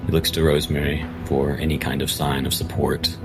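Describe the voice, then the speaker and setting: A man playing a tabletop roleplay game, narrating and speaking in character in a measured, formal way. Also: rumbling low, little pitch movement. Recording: loud music under the voice.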